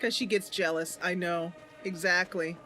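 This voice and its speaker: A woman's voice: lowish voice, steady tone, normal speed, sharp tone, faint music behind, rough recording. A woman hosting and narrating a game roleplay, chatting casually while ill and tired. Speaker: channel host and game player.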